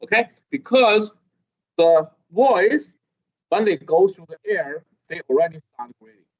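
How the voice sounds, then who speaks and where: A middle-aged man lecturing a class, with a halting manner and constant "okay?" checks. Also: high-pitched, animated. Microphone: video call. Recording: dull lo-fi video call audio.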